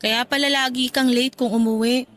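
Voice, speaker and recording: Actress as high-voiced jealous wife, thirties. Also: female voice, fairly even intonation, normal speed, fairly bright tone, natural voice. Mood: suspicious, resentful. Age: 30s. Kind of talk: Tagalog radio drama acting.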